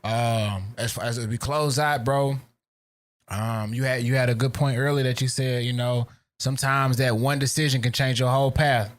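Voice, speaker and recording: A man hosting a casual podcast chat, talking in a relaxed way with slang and many fillers. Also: mid-range voice, moderate pace. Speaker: podcast host.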